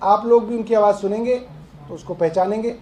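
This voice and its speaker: A man speaking as a political spokesperson at a press conference, explaining deliberately with pauses. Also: sits high, steady tone, a little mellow, a little hiss or hum. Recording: roomy, echoing press room sound.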